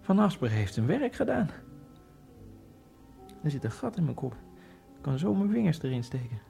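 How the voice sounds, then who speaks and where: A man narrating a radio drama in the first person, in a halting, fragmented inner monologue with a gravelly voice. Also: fairly high pitch, sing-song, warm tone. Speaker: narrator and main character. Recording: radio drama with faint music.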